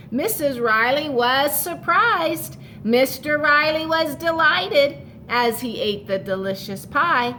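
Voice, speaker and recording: A woman's voice: high-pitched, a bit bright, slight background noise. A woman reading a picture book aloud to children like a teacher, expressively stretching key words and adding explanatory asides for young listeners.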